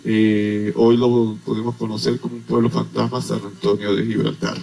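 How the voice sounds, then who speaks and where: A man from Venezuela, an agronomist explaining things as a guest in a radio interview, unhurried and full of hesitations. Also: fairly low pitch, little pitch movement, gravelly, warm tone, a little hiss or hum.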